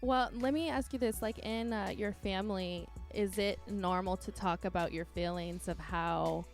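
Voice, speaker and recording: A woman's voice: mid-range voice, normal speed, soft background music. A woman interviewer speaking casually and encouragingly in a personal one-on-one conversation.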